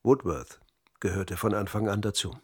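A man's voice: on the low side, breathy edge. A man in a radio drama playing a precise, formal psychologist in his fifties giving testimony under interrogation. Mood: measured, confessing. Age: 50s. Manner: measured, formal, explanatory.

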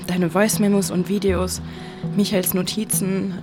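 Eine Schauspielerin spielt in einem Hörspiel eine junge, selbstsichere Frau, die pragmatisch und beruhigend auf ihre Freundin einredet. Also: female voice, medium pitch, level tone, normal speed, loud music behind the voice, lightly acted. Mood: reassuring, focused. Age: young adult. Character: Leslie, young woman, confident, reassuring, pragmatic best friend. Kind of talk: naturalistic audio drama acting.

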